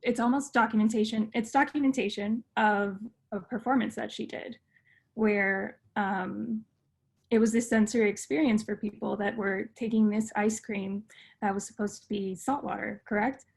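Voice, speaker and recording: Woman interviewer, gravelly and breathy, engaged, asks follow-ups. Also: medium pitch, steady tone, normal speed. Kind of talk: conversational interview.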